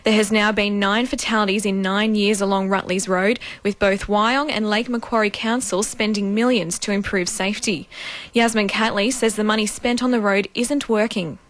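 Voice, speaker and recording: A woman's voice: medium pitch, steady tone, moderate pace. A woman with an Australian accent reading a scripted radio news bulletin as the newsreader.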